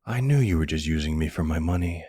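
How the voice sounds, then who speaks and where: Guy doing a flirty mafia boss bf, asmr roleplay, low husky voice, teasing n cocky. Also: lively intonation, fast, mellow, dry recording, clean studio sound, heavily acted. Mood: teasing, fond.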